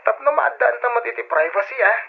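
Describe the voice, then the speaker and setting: An actor playing a smooth, polite young man courting a woman in a radio drama. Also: extremely high pitch, lively intonation, a little fast, heavily acted. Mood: coaxing. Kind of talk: Filipino radio drama acting. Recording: dull, lo-fi old radio drama recording.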